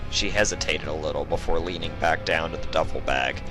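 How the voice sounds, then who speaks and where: A man's voice: rumbling low, fairly quick. A man reading a story aloud as a scripted narration. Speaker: narrator of fan fiction. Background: music.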